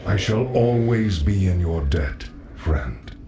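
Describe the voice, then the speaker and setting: Deep, stately paladin spirit. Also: male voice, lively intonation, slow pace. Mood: fond resolve. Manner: solemn, stately, formal. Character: noble, sorrowful ghost of an old paladin. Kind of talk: fantasy game cutscene acting. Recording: noisy game ambience.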